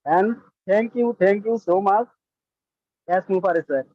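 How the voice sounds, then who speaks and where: Slow, high-voiced Bangladeshi man, fervent. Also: no room sound. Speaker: brand ambassador giving testimonial. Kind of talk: halting, emphatic speech to a webinar. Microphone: online video call. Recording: dull lo-fi call audio.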